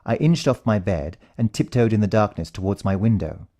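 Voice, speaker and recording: A man's voice: lowish voice, lively intonation, fairly quick, mellow. A British man narrating a first-person story in a dramatic, suspenseful way. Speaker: audiobook narrator.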